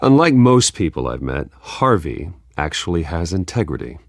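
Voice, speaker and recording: An actor playing a guarded, stern young billionaire in a video game, speaking in a terse, dry, skeptical way. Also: male voice, deep voice, very animated, moderate pace, no echo. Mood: firm, defensive.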